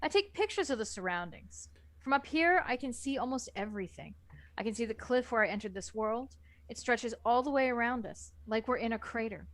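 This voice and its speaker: Casting: female narrator, slightly high voice, dry close recording, reading a scripted first-person tale. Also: lively intonation, fairly quick, fairly bright tone, dry recording.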